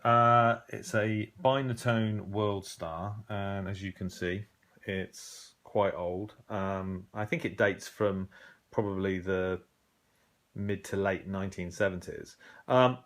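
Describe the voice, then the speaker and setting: A middle-aged British man with a fairly low voice presents in an informal, unscripted way. He is conversational and reflective, pausing and hesitating as he searches for his words. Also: steady tone, a bit bright. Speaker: hobbyist presenter. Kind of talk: casual unscripted show-and-tell.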